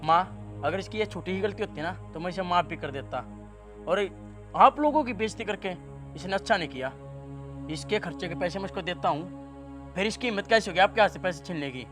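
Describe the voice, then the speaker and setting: A man's voice: high-pitched, animated, a little fast. A man playing a furious young husband. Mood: stern. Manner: angry, reproachful. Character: angry young husband and son, stern and reproachful. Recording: background music under the voice.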